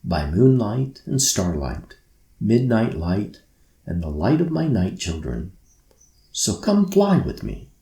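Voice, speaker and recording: Storybook dream-bringer, male, acted by a man: low, teasing, grand, in an echoey room. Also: huge pitch swings, slow pace, vocal fry, mellow, exaggerated voice. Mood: grand, inviting. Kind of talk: storybook character voice. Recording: roomy echo.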